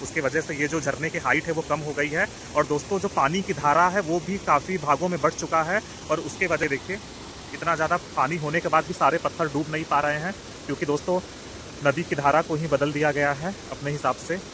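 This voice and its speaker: A man's voice: on the high side, steady tone. Man vlogging beside a roaring waterfall. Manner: addresses viewers as friends, earnest and concerned. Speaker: travel vlogger. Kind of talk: walking travel vlog narration. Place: outdoors at a waterfall and river. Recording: outdoor phone audio with loud waterfall noise. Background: rushing water.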